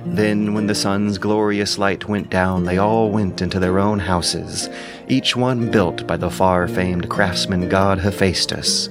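A man's voice: low-pitched, steady tone, slow pace, over music. A man reading scripted narration as narrator and commentator.

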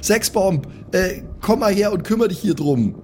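A man's voice: high voice, expressive, moderate pace, slight background noise, voice pushed up. A man voicing a brash, irritable young woman with a blunt, slangy manner in an improvised role-playing game. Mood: exasperated.

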